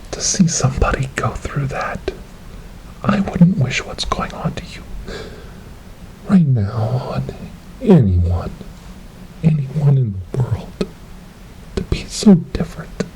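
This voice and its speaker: Slow whispering, eerie asylum helper acted by a man. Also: mid-range voice, close to an everyday voice, poor audio. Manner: slow, repetitive, hushed coaxing. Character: soothing, eerie male asylum helper coaxing a tormented creature. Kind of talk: whispered ASMR horror roleplay storytelling.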